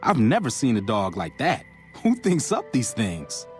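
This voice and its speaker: An actor playing a brash, opinionated gun company guard in a video game. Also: male voice, mid-range voice, wildly swooping pitch, measured pace, soft background music. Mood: incredulous, scornful. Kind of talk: video game character barks.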